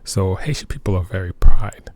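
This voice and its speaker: A middle-aged man from Montreal speaking as a guest in a personal interview, halting and repeating phrases for emphasis. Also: sits low, mellow. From Montreal, Canada. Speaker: interview guest, military veteran.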